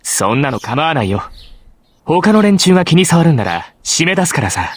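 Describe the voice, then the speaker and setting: A man's voice: on the high side, big pitch swings, fast talker, slightly rough. An actor playing a smug, pushy teenage boy in a visual novel, speaking with falsely friendly charm. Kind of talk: Japanese visual novel voice acting.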